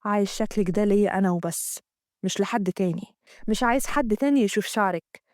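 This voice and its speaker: A woman voicing a smooth-talking, manipulative young man with an Egyptian accent and a low, soft murmur. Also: quick pace, dry recording, clean studio sound, lightly acted. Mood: wooing. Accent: Egyptian Arabic. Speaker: storyteller voicing a character.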